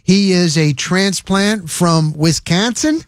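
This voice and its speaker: Male sports radio host, upbeat, fairly high voice, dry close-mic studio sound. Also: no echo. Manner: upbeat, quick to laugh, rattles off scores. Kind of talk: casual sports talk and score updates.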